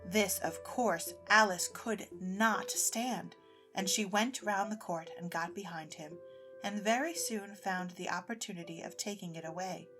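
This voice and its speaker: A woman's voice: medium pitch, unhurried, pushed and bright. Woman narrating a storybook over music. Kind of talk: scripted storybook narration for audio drama.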